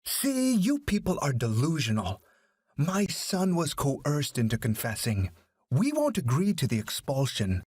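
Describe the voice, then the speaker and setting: Man acting an arrogant ranting father, raised pitch. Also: wildly swooping pitch, a little slow, darkish tone, husky, no echo, heavily acted. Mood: indignant ranting. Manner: ranting, indignant. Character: arrogant, angry, blustering father.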